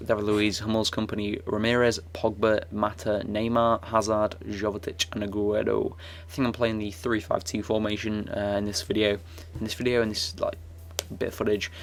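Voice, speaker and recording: A young man talks through his football video game match in a loose, rambling way, full of fillers and restarts. His voice sits low and stays fairly flat, with a croaky, gravelly edge. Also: a little hiss or hum. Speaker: gaming YouTuber. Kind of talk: casual game commentary.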